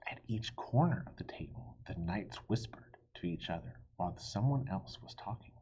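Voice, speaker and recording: A man reading a storybook aloud for children in an expressive teacherly way. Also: deep voice, husky, dull audio. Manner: animated, pauses to quiz listeners.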